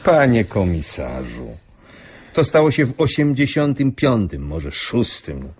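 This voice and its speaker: An actor playing a senior doctor in a radio drama, answering in a measured, hesitant and sceptical way. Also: male voice, mid-range voice, huge pitch swings, darkish tone, husky, full character voice. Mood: measured, guarded.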